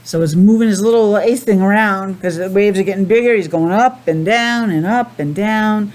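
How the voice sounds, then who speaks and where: A woman's voice: medium pitch, normal speed, a little mellow. Woman teacher reading aloud to young children. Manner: patient, asks questions and explains simply. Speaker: preschool teacher. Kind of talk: children's read-aloud with explanations.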